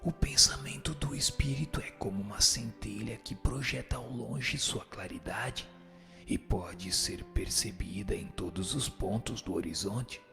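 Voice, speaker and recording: A man voicing a solemn spirit who teaches in a measured, declamatory, didactic way in a radio drama. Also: on the low side, creaky, music in the background. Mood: solemn, instructive. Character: solemn male spirit answering questions and dictating teachings. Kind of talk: dramatized reading of a spiritist text.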